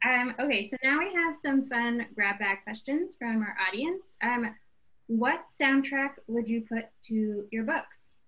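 A woman's voice: on the high side, expressive, measured pace, sharp tone, rough recording. A young adult woman moderating a book club talk over video call with casual questions. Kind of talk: casual interviewing. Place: indoor room, on a video call. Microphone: computer mic on a video call.

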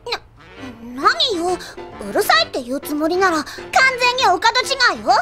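A very high-pitched, lively girl idol leader, haughty and quick to scold. She is a bossy, exasperated teenager from an anime audio drama, with music behind her.

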